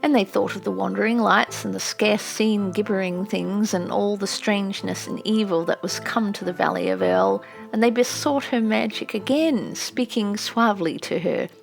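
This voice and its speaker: A woman's voice: medium pitch, moderate pace, gravelly, hardly any pauses, faint music behind. A woman narrating an audiobook in a scripted, literary storytelling style.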